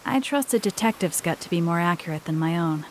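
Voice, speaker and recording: A woman's voice: fairly low pitch, expressive, brisk, slight background noise, lightly acted. An actress playing a guarded, wry young woman thief in a video game. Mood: wry, questioning.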